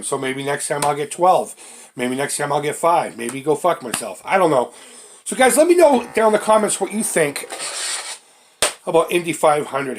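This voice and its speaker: A middle-aged man with a stuffy, congested voice from a head cold, giving casual, self-deprecating commentary as he hosts a retro video game showcase. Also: a little high. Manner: self-deprecating, informal, mildly crude. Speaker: host of a retro gaming channel. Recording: clearly noisy home recording.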